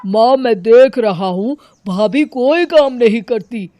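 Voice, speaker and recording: Lady playing a young guy in a cartoon, indignant complaining son, lively, close dry mic. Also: a little high, slow pace, no echo, soft background music. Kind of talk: cartoon story acting.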